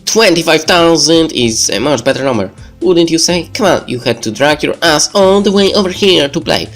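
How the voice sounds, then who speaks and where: A brash high school gamer boy played by a man, pitched up and full of taunting swagger. It is a video game character, with faint music behind. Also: animated, normal speed, a bit bright. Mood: goading. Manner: cocky, goading.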